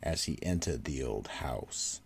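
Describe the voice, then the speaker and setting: A man narrating a horror radio drama and hosting the show, slow and ominous. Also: a bass voice, animated, creaky, slightly rough. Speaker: narrator and host.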